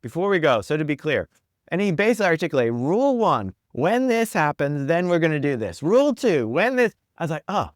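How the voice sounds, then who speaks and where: A man with a smooth, resonant voice talks casually as an interview guest. He explains things conversationally and slips into quoted remarks to illustrate them. The recording is close and dry. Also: fairly high pitch, very animated, brisk, no echo. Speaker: podcast guest, product executive.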